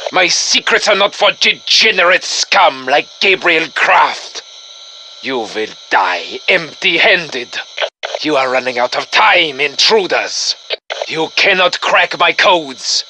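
Game villain: German-accented Nazi commander of the undead, piercingly bright and forceful, slow and gloating, male. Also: sits high, slight background noise, exaggerated voice, low-quality recording. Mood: cold threat. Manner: menacing, pompous, gloating. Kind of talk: theatrical video game villain acting.

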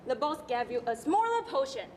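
A woman's voice: sits high, very animated, fairly quick, darkish tone, a little hiss or hum. Young woman playing a brash Singlish-speaking auntie. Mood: chatty. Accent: Singaporean English, put on. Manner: brash, Singlish tags, scolding then friendly. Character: pushy chatty Singaporean auntie, older woman. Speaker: student performer. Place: large auditorium stage. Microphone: stage floor mics from afar. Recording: hall reverb from far off.

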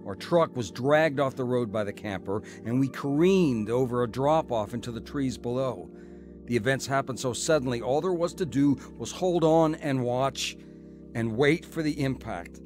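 A man's voice: medium pitch, moderate pace, darkish tone, lightly acted. A male actor voices a self-made, stubborn older brother with an American accent in an audio drama. He sounds cynical and emphatic, in a mid-range voice over faint music. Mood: regretful narration. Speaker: actor narrating and playing the lead.

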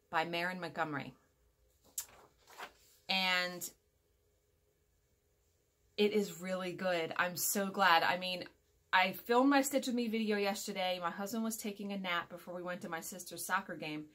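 A woman's voice: medium pitch, a bit bright. Chatty woman in her forties, casual vlogger. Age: 40s. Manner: chatty, rambling, self-correcting, emphatic. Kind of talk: casual chat to viewers. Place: small furnished room at home, indoors.

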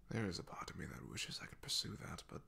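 A man playing a young, humble, devoted knight in an ASMR roleplay, soft-spoken and halting, with a breathy, gravelly half-whisper. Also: a little hiss or hum, natural voice. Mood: wistful.

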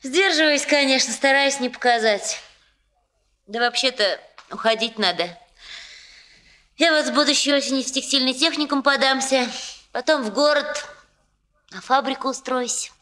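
A bright, high-voiced, excitable young bride. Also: female voice, moderate pace, pauses often. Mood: frank, chatty. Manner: colloquial, chatty, gushing. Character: warm, excitable young working woman, bride-to-be, self-deprecating. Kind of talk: 1960s Soviet radio drama acting.

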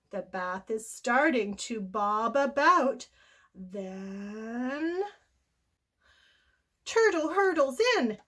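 Older woman, very slow storybook read-aloud, high pitch, expressive, sing-song. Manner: expressive, sing-song, deliberate phrasing for young children. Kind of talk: animated children's story reading.

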